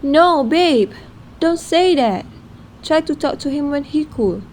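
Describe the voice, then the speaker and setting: A woman's voice: high-pitched, animated, slow, darkish tone, slight background noise. A woman playing a concerned, soothing best friend in an amateur radio drama. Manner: soothing, advising.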